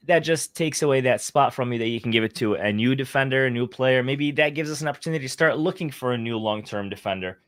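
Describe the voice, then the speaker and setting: A man's voice: medium pitch, a bit bright. A man co-hosting a sports podcast, giving his opinions in a casual, conversational way. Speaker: podcast co-host.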